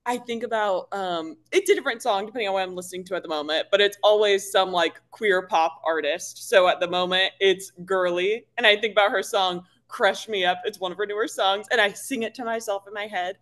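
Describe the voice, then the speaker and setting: A woman from Missouri with a deep voice, a guest chatting casually in an interview, a little nervous and laughing easily. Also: fairly quick, few pauses, fairly bright tone. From Missouri, USA. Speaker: guest, bikini athlete.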